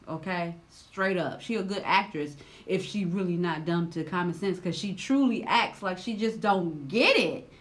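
A woman in her thirties doing casual, slangy reaction commentary, loud and expressive, her voice swinging high when riled, recorded seated indoors on a camera. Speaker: reaction vlogger.

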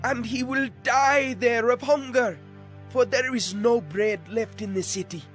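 An actor playing an earnest, pleading eunuch of a royal court in a scripture drama. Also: male voice, extremely high pitch, measured pace, fairly bright tone, soft background music.

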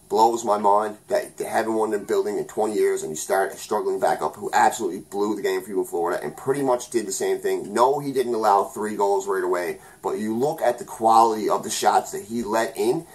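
A young man from Newfoundland giving a rambling, heated post-game hockey recap at home, often correcting himself mid-sentence. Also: on the low side. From Newfoundland, Canada. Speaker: hockey vlogger. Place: small room at home.

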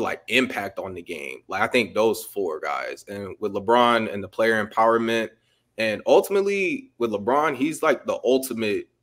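Low-voiced man musing on a video call. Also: fairly bright tone. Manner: hesitant, many fillers and restarts. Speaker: podcast panelist. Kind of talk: casual sports debate on a video call.

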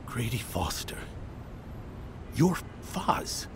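An actor playing an inquisitive, startled visitor in a radio drama. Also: male voice, mid-range voice, big pitch swings, very slow, creaky, slight background noise, exaggerated voice. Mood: surprised.

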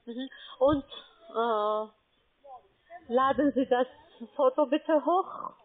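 A woman's voice: high-pitched, extremely slow. A woman working as a call center agent, speaking German with broken grammar and pushing insistently. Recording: tinny phone line.

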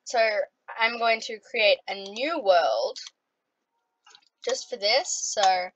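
A woman with an Australian accent, chatting casually as she plays a computer game, with lots of ums and sudden excited outbursts. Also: mid-range voice, slow, a little hiss or hum, low-quality recording. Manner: rambling, many ums, bursts of playful excitement. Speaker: gaming vlogger.

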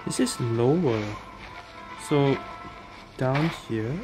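A woman's voice: extremely low pitch, animated, extremely slow, music in the background, rough recording. A woman game streamer giving casual commentary as she plays.

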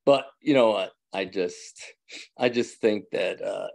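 Older rabbi with a thick New York accent, gravelly, chatty, on a home video call. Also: male voice, fairly low pitch, measured pace. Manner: rambling, joking, laughs often, many restarts. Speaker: rabbi teaching an evening class. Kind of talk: casual chat with class members. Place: indoors at home. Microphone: video call from a phone or webcam.

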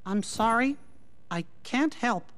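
A man voicing a small cartoon mouse king for a video game, folksy, gentle and earnest in manner. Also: extremely high pitch, expressive, slow, exaggerated voice. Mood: sorrowful. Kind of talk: video game cutscene acting.

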